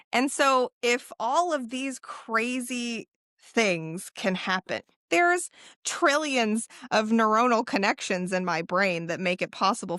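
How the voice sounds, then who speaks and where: A woman's voice: fairly high pitch, sharp tone, no echo, studio quality. A woman of thirty-six chatting casually as a podcast co-host, emphatic and rambling, with slangy, sweary phrasing. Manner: emphatic, profane, philosophical musing in casual slang.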